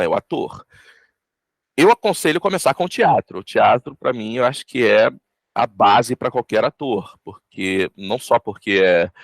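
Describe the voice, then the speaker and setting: A man's voice: fairly low pitch, dry recording. A man with a Brazilian Portuguese accent giving casual interview advice, explaining things with emphatic repetition. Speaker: voice actor giving career advice.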